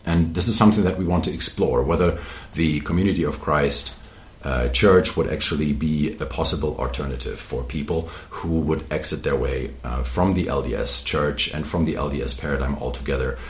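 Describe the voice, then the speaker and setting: Very deep-voiced podcast host, roomy sound. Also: male voice, a little fast. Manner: earnest, wordy, with many uh and um fillers. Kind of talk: interview podcast hosting.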